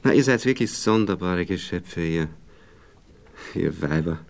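A condescending Viennese man in a radio drama. His voice sits very low but swings widely as he talks. He cajoles haltingly and dodges, with a clear Viennese accent. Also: lively intonation, exaggerated voice. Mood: indignant. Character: evasive, condescending married Viennese man courting a young woman. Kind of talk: naturalistic radio play dialogue.

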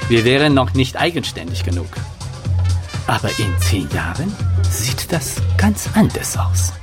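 A man's voice: mid-range voice, sing-song, measured pace, over music. A man playing a proud, confident Chinese manager in a documentary-style radio drama monologue. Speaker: business manager.

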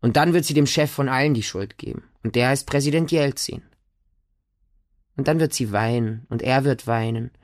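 An actor playing a wounded, innocent boy who tells of his childhood in a radio drama, in simple, deliberate, repeated phrases. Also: mid-range voice, dry recording, clean studio sound, close to an everyday voice. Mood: resigned. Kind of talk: radio drama monologue, storytelling.

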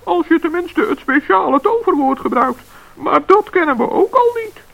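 A kind-hearted little forest gnome in an old radio play, speaks in a very high, gravelly squeak. The recording is dull and lo-fi. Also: male voice, expressive, moderate pace, full character voice. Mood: earnest.

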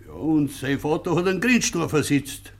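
A stubborn Bavarian village farmer with a somewhat high voice. He needles and defies in thick dialect, as heard in a radio play. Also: lively intonation, normal speed. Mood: defiant, angry. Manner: taunting, defiant.

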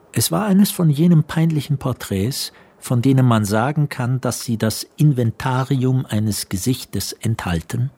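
A man narrating a literary radio play in a measured, precise storytelling manner. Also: fairly low pitch, a little mellow, breathy edge, full character voice. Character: composed literary male narrator. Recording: music under the narration.

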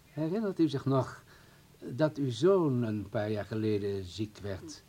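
Actor as husky, insinuating party official. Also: male voice, medium pitch, measured pace, dark tone, natural voice. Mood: veiled threat. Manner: hesitant mock politeness, veiled threats. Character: insinuating male political official, smooth-mannered and menacing. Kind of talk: radio play acting.

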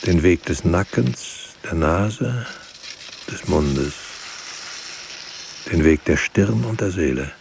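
An actor playing a grave, brooding writer, speaking solemnly in halting, deliberate phrases in a poetic radio play. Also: male voice, low voice, animated, creaky, a little mellow, a little hiss or hum, exaggerated voice. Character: grave, brooding writer musing on words and silence. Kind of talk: poetic radio drama monologue.

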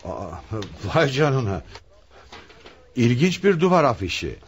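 An actor playing a commanding police commissioner in a radio play, authoritative, deliberate and probing. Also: male voice, mid-range voice, very animated, a little hiss or hum. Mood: probing, intrigued. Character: commanding male police commissioner leading a murder investigation. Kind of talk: radio theatre acting.

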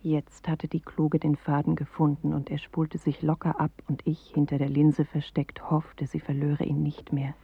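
An actress playing a watchful inner narrator in a poetic radio play, confiding dry commentary in a husky, creaky, often half-whispered voice. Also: female voice, deep voice, little pitch movement, normal speed, hardly any pauses, no echo, exaggerated voice. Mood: prompting, watchful. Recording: thin, phone-like band, very dry.

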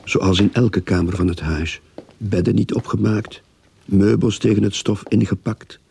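A man acting a terse detective who narrates his own case in a radio drama, in a gravelly, husky voice. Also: lowish voice, steady tone, measured pace, dark tone, exaggerated voice. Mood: grim, matter-of-fact.

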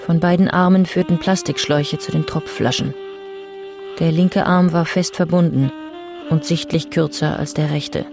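A man's voice: a little high, fairly even intonation, moderate pace, gravelly, music in the background. A man narrating a radio crime drama in a sober, observant, scripted style.

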